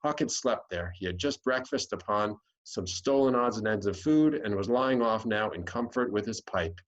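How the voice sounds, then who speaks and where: A man's voice: fairly low pitch, animated, normal speed, rough recording. An American man reading a classic storybook aloud as scripted narration. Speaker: audiobook reader.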